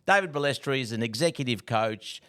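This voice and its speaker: A man's voice: medium pitch, expressive, a little fast, fairly bright tone, clear, resonant tone. A man hosting a podcast in a chatty, upbeat way, often repeating his words. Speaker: podcast host. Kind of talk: casual podcast chat.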